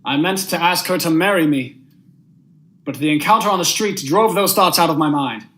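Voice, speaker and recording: A man's voice: fairly high pitch, moderate pace, fairly bright tone, natural voice. A young man playing a panicked, guilt-haunted judge who has killed, in a melodramatic vintage radio thriller style, stammering when rattled. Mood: haunted narration. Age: young adult. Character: panicked, guilt-haunted judge, murderer narrating his own downfall.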